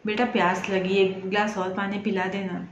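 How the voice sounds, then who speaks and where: A woman's voice: mid-range voice, level tone, normal speed, echoey room, lo-fi sound, slight background noise, close to an everyday voice. A woman voicing a patient, self-sacrificing old mother who chides plainly, in a storytelling. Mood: needy. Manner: chiding, plain-spoken.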